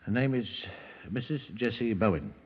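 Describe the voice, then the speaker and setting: An actor playing a laconic, dogged and faintly weary British police sergeant with a husky voice, in a 1950s radio drama on a tinny old recording. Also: male voice, mid-range voice, normal speed, natural voice. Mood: formal. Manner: laconic, wry.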